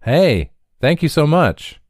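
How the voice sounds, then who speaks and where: A man's voice: on the low side, animated, measured pace, a little mellow, no echo, clean studio sound, pitched above the performer's own voice. A man voicing a weary, anxious delivery driver who is polite and eager to please.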